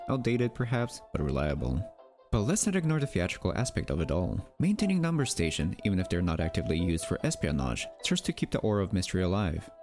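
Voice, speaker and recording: A man's voice: low-pitched, darkish tone. A man delivering scripted documentary narration in a wry, theatrical manner, with rhetorical asides. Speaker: narrator of a mystery documentary channel.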